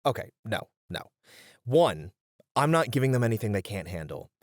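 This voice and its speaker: A man acts a band's long-suffering manager in an audio roleplay. His voice is fairly low, with a dry, close sound. He scolds with weary exasperation and keeps things practical and responsible. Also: very animated, normal speed, no echo. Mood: firm, scolding.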